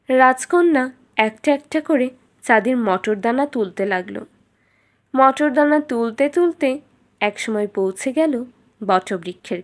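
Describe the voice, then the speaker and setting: A woman tells a children's fairytale as a narrator. Her voice is fairly high, and she reads in a scripted storytelling style.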